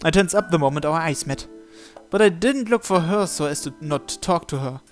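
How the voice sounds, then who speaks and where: A man with a fairly high voice reads a visual novel aloud. He delivers it as dramatic, scripted first-person narration. Faint music runs behind the voice. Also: expressive, moderate pace. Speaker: channel host reading visual novel text aloud.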